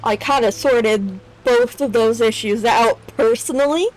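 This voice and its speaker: A woman's voice: fairly high pitch, measured pace, poor audio. A woman streaming a video game, giving casual, irreverent commentary and swearing. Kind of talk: casual let's-play commentary.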